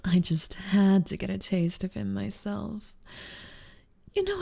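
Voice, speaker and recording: A woman plays a smug, seductive rival succubus in an ASMR roleplay. Her voice is slow, mellow and creaky, often sinking into breathiness. She teases and taunts with sly confidence. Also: lowish voice. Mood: smug, teasing. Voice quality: breathy, half-whispered in places. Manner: drawling, teasing, taunting. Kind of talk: ASMR audio roleplay acting.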